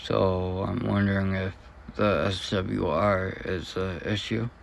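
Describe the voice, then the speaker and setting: A man talking in a casual, unscripted vlog, troubleshooting in a hesitant and unsure, halting way. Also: low-pitched, steady tone, creaky, slight background noise. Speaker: vlogger.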